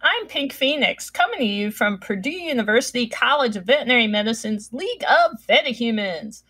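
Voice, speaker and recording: Bright enthusiastic woman host. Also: a little high, lively intonation, fairly quick, breathy edge. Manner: enthusiastic, upbeat. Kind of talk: scripted interview questions.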